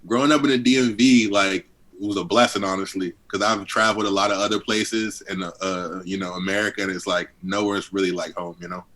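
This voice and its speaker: Deep-voiced young man from D.C. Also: steady tone, fairly quick, fairly bright tone, low-quality recording. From Southeast Washington, D.C. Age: 20s. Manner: laid-back, heavy on fillers, chuckles often. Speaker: guest rapper. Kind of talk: casual interview chat.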